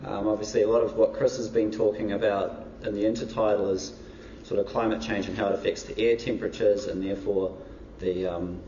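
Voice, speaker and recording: A man hosting a lecture event, introducing the speakers in a relaxed, casual way with many fillers. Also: fairly low pitch, brisk, few pauses, a little hiss or hum. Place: university lecture hall. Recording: echoey lecture hall, distant dull mic.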